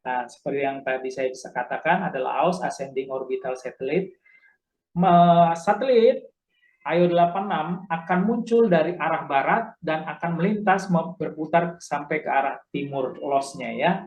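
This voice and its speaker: A man giving an informal, instructive tutorial, halting at times and repeating key points. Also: fairly high pitch, a little mellow, poor audio. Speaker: amateur radio instructor.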